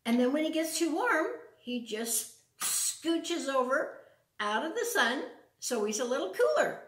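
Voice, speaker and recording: An older American woman in her sixties or beyond hosting a children's storytime, talking and reading aloud in simple, deliberate phrases for young listeners. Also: on the high side. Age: 60s or older. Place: living room at home, indoors. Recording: roomy echo.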